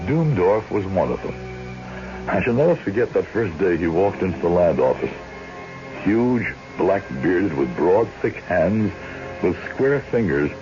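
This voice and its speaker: An actor playing a courteous small-town lawyer and judge in a radio drama, speaking in a formal, articulate, measured way. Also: male voice, low voice, very animated. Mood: reflective narration. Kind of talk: old-time radio drama acting and narration. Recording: dull vintage radio broadcast, music behind.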